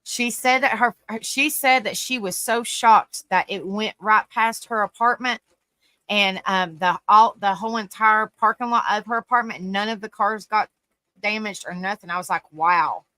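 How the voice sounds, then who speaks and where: A woman's voice: mid-range voice, moderate pace, bright, forceful tone, no echo. A woman host chatting casually on a live stream, folksy and repetitive, fond of "bless your heart.". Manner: folksy, repeats phrases, says "bless your heart, honey".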